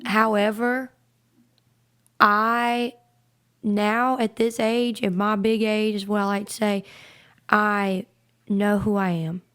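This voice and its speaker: A raspy-voiced woman in her twenties with a Southern country accent, talking earnestly as a guest singer in a casual interview. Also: mid-range voice, level tone, takes it slowly, long pauses, fairly bright tone. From North Carolina, US. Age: 20s. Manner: earnest, repeats words when starting.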